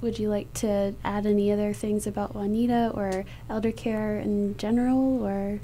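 A woman interviewer putting open questions to guests in a gentle style. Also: medium pitch, fairly even intonation, moderate pace, a little hiss or hum.

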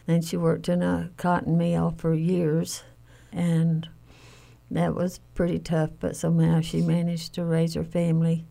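An older woman shares recollections in conversation as an interviewee. Her voice is low and mellow, with a marked gravelly creak. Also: monotone, measured pace. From Alabama, United States. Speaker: interviewee, family caregiver.

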